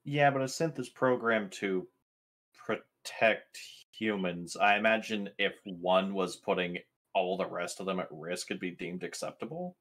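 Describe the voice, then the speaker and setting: A man's voice: deep voice, measured pace, a bit bright. A man chatting casually as a player in a tabletop role-playing game, wry, joking and full of questions.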